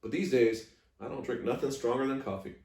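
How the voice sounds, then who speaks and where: A man voicing a kindly, plainspoken old Southern woman with a folksy, gently admonishing way, as he reads a story. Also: medium pitch, fairly quick, lightly acted. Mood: wise, instructive. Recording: echoey room, distant microphone.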